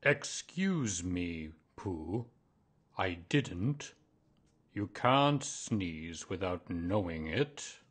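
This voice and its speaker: A man voicing a pompous, long-winded owl in a children's story, with a ponderous, drawn-out delivery. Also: sits low, sing-song, fairly bright tone, breathy edge, heavily acted. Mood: mildly affronted.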